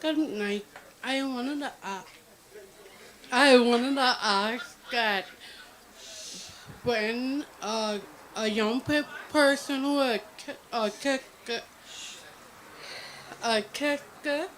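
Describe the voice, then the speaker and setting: A woman's voice: a little high, drawn-out words, pauses often, a bit bright, a little hiss or hum, poor audio. A woman from the audience asking a question hesitantly, restarting her words. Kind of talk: halting question.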